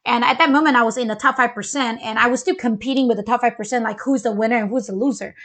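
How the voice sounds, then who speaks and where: A woman's voice: fairly high pitch, steady tone, fast talker, a bit bright. A woman, co-hosting a casual parenting chat and telling personal stories earnestly. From Malaysia. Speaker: co-host, parent.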